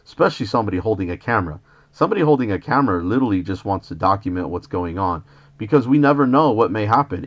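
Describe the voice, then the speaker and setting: An American man, a citizen journalist on a phone call, arguing in an indignant, lecturing manner as he confronts the police. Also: fairly low pitch. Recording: dull lo-fi phone call recording.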